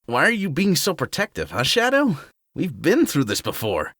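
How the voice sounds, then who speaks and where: Cocky young hedgehog hero acted by a man, casual slang, wildly expressive pitch, dry close recording. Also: moderate pace, no room sound, exaggerated voice. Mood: breezy, curious. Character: laid-back, cocky young male cartoon hedgehog hero. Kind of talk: fan-made audio drama acting.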